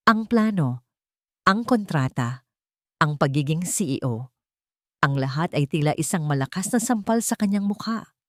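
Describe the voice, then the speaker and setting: Low-voiced woman narrating romantic drama. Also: a little mellow, no room sound, clean studio sound. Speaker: storyteller.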